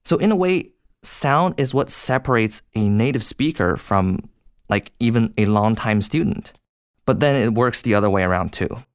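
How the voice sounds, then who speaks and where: A man's voice: on the low side, expressive, normal speed, clear, resonant tone, no echo, studio quality. A young man from China co-hosting a podcast, explaining ideas in a thoughtful, conversational way. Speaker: podcast co-host.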